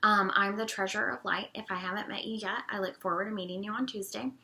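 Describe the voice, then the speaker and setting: A woman in the role of co-op treasurer, raspy from allergies, explaining forms in a casual, friendly talk full of "um"s. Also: mid-range voice, steady tone, quick pace, sharp tone. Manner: friendly, chatty, many "um"s. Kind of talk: casual informational video talk.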